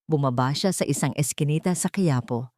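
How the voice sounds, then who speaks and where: Low-voiced woman narrating drama. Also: normal speed, darkish tone, no echo, studio quality. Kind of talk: dramatic scripted audio-story narration.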